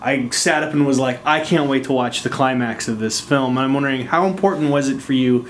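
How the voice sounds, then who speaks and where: A man's voice: medium pitch, a little fast, a little hiss or hum. A man interviewing a film director, enthusiastic and casual, with long rambling questions. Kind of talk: press junket interview.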